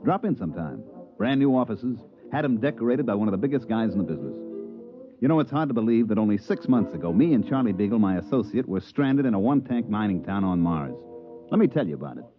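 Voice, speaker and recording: A brash fast-talking space promoter. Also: male voice, lowish voice, sing-song. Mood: breezy. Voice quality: breezy, salesman-like patter. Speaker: narrator and lead character. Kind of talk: 1950s radio drama acting. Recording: dull 1950s radio transcription with music.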